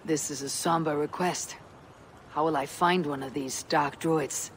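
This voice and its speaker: A stoic Norse fighter in a video game. Her voice is deep and husky, and she talks in short, resolute lines. Ambient noise is clearly heard behind her. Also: fairly even intonation, a little slow. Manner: terse, resolute. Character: stern, stoic female Viking warrior. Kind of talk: video game cutscene acting.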